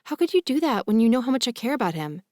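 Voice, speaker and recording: A woman's voice: mid-range voice, moderate pace, a little mellow, dry recording, clean studio sound, lightly acted. A woman voicing a headstrong young woman in love, insistent and emotional, in an audiobook. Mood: indignant, defiant. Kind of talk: audiobook character dialogue.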